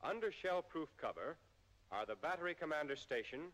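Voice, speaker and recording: An American man narrates in the formal style of a 1940s military training film. His voice sits a little high, and he speaks in measured, precisely enunciated sentences. The sound is an old film soundtrack with a long reverberant tail. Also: lively intonation, fairly bright tone.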